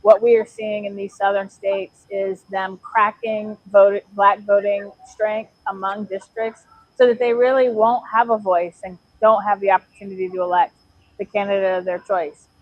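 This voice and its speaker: A woman's voice: medium pitch, fairly even intonation, moderate pace, no echo. Firm woman on a tinny, dry line. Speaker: politician, interview guest.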